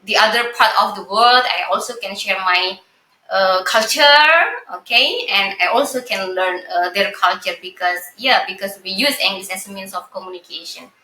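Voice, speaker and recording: Bright woman podcast host, laughs a lot, echoey room. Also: medium pitch, normal speed, a little hiss or hum. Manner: laughs often, searches for words. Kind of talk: casual chat.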